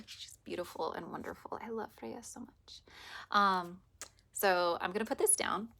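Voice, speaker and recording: Raspy tired-voiced woman chatting about her reiki stuff, gravelly, drifts into whispers. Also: medium pitch. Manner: soft, meandering, often drops to a whisper. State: hoarse from teaching all day. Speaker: reiki practitioner. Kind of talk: casual spiritual vlog talk.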